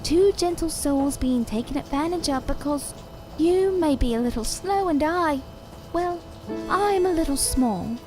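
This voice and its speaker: High-voiced, sorrowful living doll. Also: female voice, animated, measured pace, music in the background, rough recording. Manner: theatrical, coaxing, ornate old-fashioned phrasing. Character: living doll of a woman, small, sweet-talking, sorrowful, theatrical. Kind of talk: audio drama acting.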